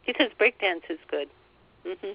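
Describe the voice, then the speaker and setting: Woman, meditation teacher, very slow gentle delivery, some throat clearing, dull lo-fi recording. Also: medium pitch, expressive, dry recording. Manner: gentle, soothing, repeats phrases. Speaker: spiritual teacher leading a meditation. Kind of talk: guided meditation, relaying a guide's words.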